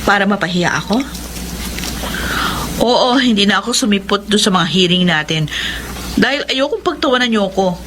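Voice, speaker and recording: Sarcastic, animated proud woman played by an actress. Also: medium pitch, moderate pace, long pauses, natural voice. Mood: defiant, bitter. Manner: sarcastic, defiant. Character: proud, bitter pregnant woman, sharp-tongued and defensive. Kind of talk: radio drama acting. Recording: noisy ambient background behind voice.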